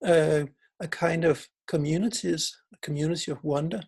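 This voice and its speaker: A man's voice: on the high side, steady tone, normal speed, vocal fry, darkish tone, breathy edge. A man with a Danish accent, a philosopher giving a reflective conference talk in English as a second language, often restarting and searching for words. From Denmark. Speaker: philosopher and researcher.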